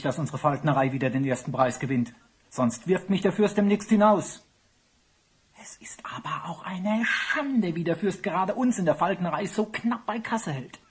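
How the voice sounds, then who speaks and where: A man playing a worried, grumbling royal falconer in a children's radio play, complaining theatrically in a husky voice. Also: sits high. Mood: hopeful.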